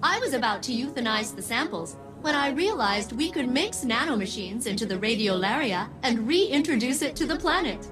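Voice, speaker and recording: Female ship AI, video game, perky and precise, deadpan sarcastic side, very lively pitch, music behind. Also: moderate pace, hardly any pauses. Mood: chirpy, eager. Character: female ship AI, chirpy scientist with gloomy sardonic side. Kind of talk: scripted video game dialogue.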